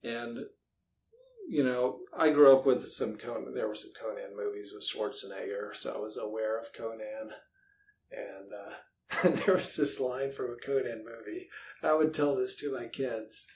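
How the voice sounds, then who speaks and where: A man's voice: medium pitch, unhurried, a little mellow. A man talking casually in a podcast interview, rambling a little as he thinks aloud. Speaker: podcast host and author being interviewed. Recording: faint background noise.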